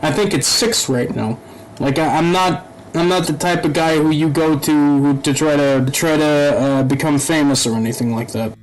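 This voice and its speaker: A young man giving casual, rambling commentary while playing a game, halting and swearing now and then. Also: a little high, poor audio. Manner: rambling, halting, profane asides. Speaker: gaming YouTuber. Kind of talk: casual let's-play commentary.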